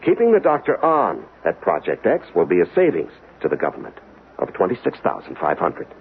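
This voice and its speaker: A man acting in a 1970s radio drama as a reserved government investigator, measured and precise, on a lo-fi broadcast recording. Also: low-pitched, wildly swooping pitch, gravelly, exaggerated voice. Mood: dry, efficient. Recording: lo-fi old radio broadcast, faint noise.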